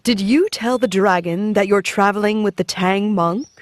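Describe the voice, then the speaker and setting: A woman voicing a serene goddess of mercy in a children's animated story, composed and gently chiding. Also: medium pitch, normal speed, no room sound, natural voice. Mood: reproving. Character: serene female goddess of mercy, patient but reproving.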